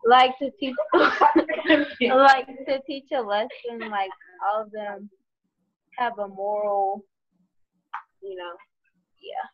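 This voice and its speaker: A teenage girl answering as a student in a casual, tentative and halting way. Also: on the high side, steady tone. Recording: muffled virtual class call.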